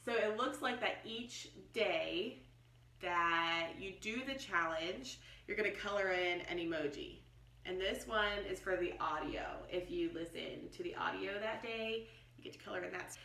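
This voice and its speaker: A middle-aged American woman talks through a product in a chatty, explanatory vlogger style. Her voice is bright and forward, at an ordinary female pitch. The room adds noticeable echo, and she sounds a little way from the microphone. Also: slight background noise. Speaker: homeschooling vlogger and product reviewer. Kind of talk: casual unboxing review. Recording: roomy echo, distant mic.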